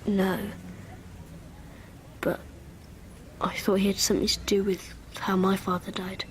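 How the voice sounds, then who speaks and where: A woman's voice: mid-range voice, level tone, a little slow, a little hiss or hum, natural voice. A woman playing an anxious, secretive twelve-year-old British schoolboy in an audio drama, answering in hesitant, clipped phrases. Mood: wary, intense. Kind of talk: naturalistic audio drama acting.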